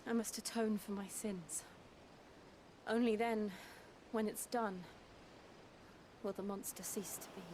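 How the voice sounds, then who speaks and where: A woman playing a soft-spoken, guilt-burdened warrior. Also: mid-range voice, steady tone, a little slow, pauses often, a little hiss or hum, natural voice. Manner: soft, quiet, earnest. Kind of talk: video game cutscene acting.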